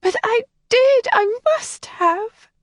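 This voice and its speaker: A woman narrator voicing a shy, distressed young woman who speaks in a breathy, trembling, often whispered voice. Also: very high voice, animated, slow pace, no room sound, clean studio sound, pitched above the performer's own voice, full character voice. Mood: tearful. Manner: pleading and anxious. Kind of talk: audiobook character voicing.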